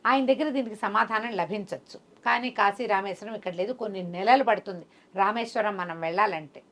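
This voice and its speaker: A woman storyteller playing a fatherly wandering holy man in a folk tale, blessing and gently admonishing. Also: medium pitch, a little fast, close to an everyday voice. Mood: measured, explaining.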